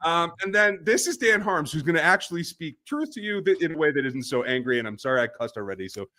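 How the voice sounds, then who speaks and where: A man's voice: on the high side, big pitch swings, fast talker, sharp tone. A man hosting a live post-game sports reaction show, with a fired-up, ranting delivery. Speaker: podcast host.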